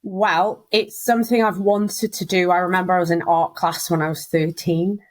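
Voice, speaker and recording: A woman's voice: lowish voice, steady tone, moderate pace. A woman, a guest telling her career story in a chatty way and laughing often. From Wales. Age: 30s. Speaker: guest, recruitment business founder. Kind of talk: casual interview chat.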